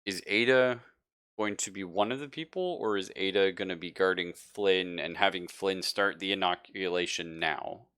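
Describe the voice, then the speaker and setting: A man with a lowish, bright and forward voice runs a tabletop roleplaying session. He narrates scenes and asks for rolls in a casual, conversational manner, thinking out loud as he goes. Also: normal speed, clean tone, no room sound, studio quality. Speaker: game master.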